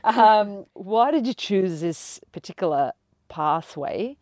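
A woman's voice: mid-range voice, animated, slow, a little mellow, no echo, clean studio sound. A woman hosting a podcast interview, encouraging her guest, talking with lots of hesitations and fillers. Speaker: podcast host. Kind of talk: conversational interview.

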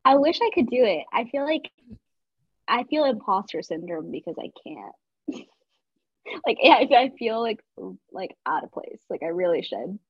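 Young female podcast co-host from Georgia, husky and eager, on a dull, lo-fi mic. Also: fairly high pitch, normal speed, no echo. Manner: bubbly, excitable, talks with lots of fillers. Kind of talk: casual chat. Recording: dull lo-fi sound.

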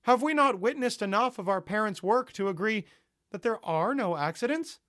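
A man voicing a persuasive, creepy young male host who talks in a smooth, rousing way, like a preacher. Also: high-pitched, lively intonation, normal speed, fairly bright tone, dry recording, clean studio sound, pitched above the performer's own voice.

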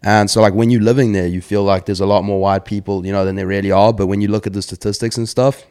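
Swearing South African man, low voice. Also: little pitch movement, quick pace, a little mellow, clean tone. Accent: South African with American twang. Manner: swears a lot, loose rambling talk. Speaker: guest. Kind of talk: casual chat.

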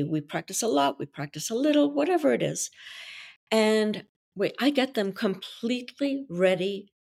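A woman from Belgium whose first language is French, a wedding coach and officiant explaining her work in a confident, theatrical podcast interview. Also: medium pitch. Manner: expressive, theatrical delivery.

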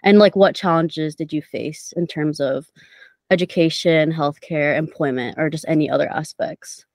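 A woman's voice: sits low, normal speed, a little mellow, dry recording, studio quality. A young woman from Indonesia conducting a casual interview, asking prepared questions. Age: young adult. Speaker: interviewer, student.

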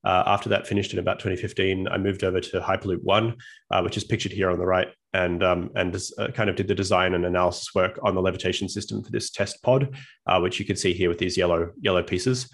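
A man's voice: low-pitched, steady tone, fast, gravelly, fairly bright tone. A man in his thirties with an Australian accent, giving a friendly, self-deprecating slide presentation as a guest physicist over a video call.

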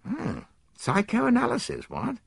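A man's voice: a little high, huge pitch swings, fairly quick, vocal fry, slightly rough, no echo, clean studio sound, pitched above the performer's own voice, full character voice. A man voicing an earnest young male army captain, speaking in a clipped, eager and slightly nervous way. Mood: uneasy.

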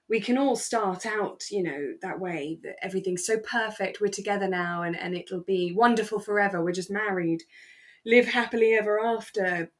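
A woman co-hosting a podcast in casual, reflective chat, hedging and thinking aloud as she goes. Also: lowish voice. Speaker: podcast co-host. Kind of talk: casual review chat about a radio drama.

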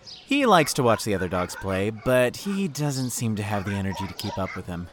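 Earnest, lively young guy, lovestruck. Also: on the low side, moderate pace, slight background noise. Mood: easygoing. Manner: hesitant, earnest. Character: carefree young man, earnest, lovestruck, a little awkward. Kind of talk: naturalistic audio drama acting.

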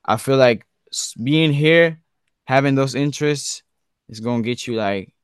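A man's voice: medium pitch, unhurried, clean tone, no echo, clean studio sound. A young man from Switzerland, a guest artist, chatting casually in a laid-back way and dropping "bro" into nearly every sentence. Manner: laid-back slang, says "bro" often. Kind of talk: casual interview chat.

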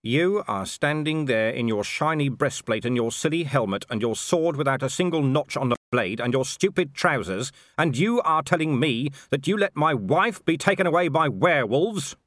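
A man's voice: on the high side, big pitch swings, moderate pace, fairly bright tone, no echo, studio quality, voice pushed up. A man playing a grim, middle-aged watch commander with a clipped, sarcastic, menacing way of talking, in a storybook reading. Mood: cold fury.

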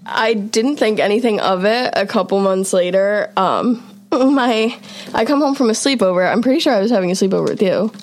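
A woman's voice: medium pitch, moderate pace, darkish tone. Giggly young woman co-hosting a podcast. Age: young adult. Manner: giggly, self-deprecating storyteller. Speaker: podcast co-host. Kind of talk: casual chat.

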